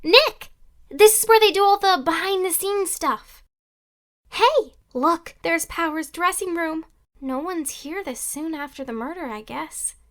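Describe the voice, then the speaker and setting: A woman voicing a perky, excitable, eager teenage girl assistant in a video game. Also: extremely high pitch, unhurried, heavily acted. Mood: playful. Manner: eager, bubbly, playful. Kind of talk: scripted game dubbing.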